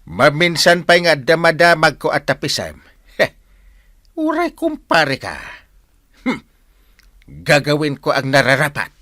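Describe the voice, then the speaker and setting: An actor playing an excitable village captain in a radio comedy-drama, with an emphatic, exclamatory delivery. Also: male voice, fairly high pitch, measured pace, pauses often, dry recording, studio quality, heavily acted. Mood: stern. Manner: emphatic, exclamatory, swinging between shouts and murmurs.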